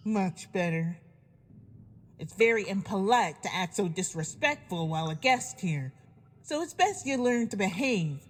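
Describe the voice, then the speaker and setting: A woman's voice: mid-range voice, animated, unhurried. A woman voicing a sadistic farm pony villain in a fan audio drama, taunting with folksy mock politeness. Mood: mock-sweet menace.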